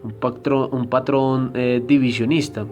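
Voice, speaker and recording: A man lectures on church history in a measured, explanatory voice, neither high nor low. He often stops to hesitate before pushing on. Faint music plays softly behind him. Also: level tone, highs cut off. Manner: deliberate, explanatory, frequent hesitation fillers. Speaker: Bible teacher.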